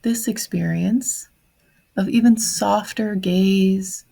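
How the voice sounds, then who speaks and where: A woman leading a guided meditation in a soft, breathy voice, speaking gently in short phrases with long pauses. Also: mid-range voice, steady tone. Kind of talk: guided meditation on grief, slow and reflective.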